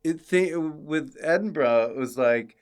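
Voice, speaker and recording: A man's voice: on the high side, measured pace, clear, resonant tone. A man hosting a podcast in casual conversation, dry and joking, speaking in short remarks. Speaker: podcast host, comedian. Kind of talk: casual chat.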